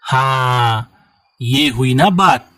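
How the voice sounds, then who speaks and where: A man's voice: medium pitch, drawn-out words. A man voicing a greedy, grumbling father bird in a cartoon. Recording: loud background music.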